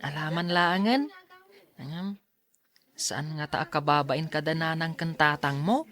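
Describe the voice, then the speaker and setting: A woman's voice: deep voice, unhurried, fairly bright tone. A woman playing a timid, polite schoolboy in an audio drama, speaking hesitantly and bashfully. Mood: shy, flustered.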